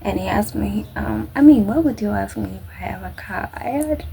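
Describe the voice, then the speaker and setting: A woman vlogger with a Kenyan accent telling a personal story casually, in a halting, conversational way that acts out bits of dialogue. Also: medium pitch, lively intonation, vocal fry, warm tone, a little hiss or hum.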